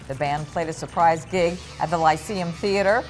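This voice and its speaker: A woman's voice: on the low side, normal speed, soft background music, low-quality recording. A woman co-anchoring a newscast, reading scripted news and entertainment items.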